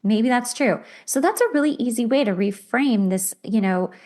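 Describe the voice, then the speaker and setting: A woman's voice: a little high, expressive, moderate pace, a little mellow. A woman hosting a podcast, explaining self-help ideas in a casual, conversational way with imagined everyday examples. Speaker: podcast host.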